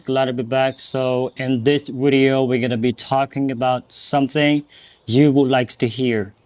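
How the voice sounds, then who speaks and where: A man's voice: mid-range voice, slight background noise. Earnest Cambodian-accented man. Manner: earnest, encouraging, repeats phrases for emphasis. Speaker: English teacher and podcast host. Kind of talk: motivational talk to learners.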